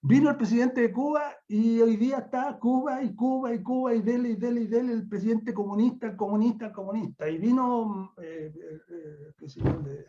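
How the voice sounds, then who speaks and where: An older Chilean man gives an improvised academic talk in a high, soft-edged voice. He hammers points home by repeating words and phrases. The sound is dull and lo-fi, as on an online call. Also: brisk, hardly any pauses. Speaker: university professor, guest speaker. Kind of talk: extemporaneous online academic lecture. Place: remote online conference call.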